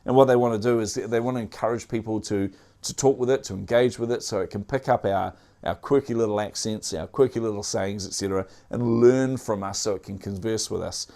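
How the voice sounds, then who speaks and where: A man with a New Zealand accent runs through the week's tech news in a relaxed, chatty way. His voice sits a little low, and he fills gaps with ums and uhs and throws in small dad jokes. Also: fairly quick, gravelly. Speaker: tech news show host. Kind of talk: casual tech news commentary.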